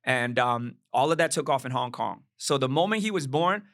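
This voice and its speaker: A man in his forties whose first language is Cantonese talks through his story in a measured, reflective way, often checking in with "right?". His voice sits mid-range and is recorded close and dry, with no room around it. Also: medium pitch, animated, a bit bright, no room sound. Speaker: podcast guest. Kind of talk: casual podcast conversation.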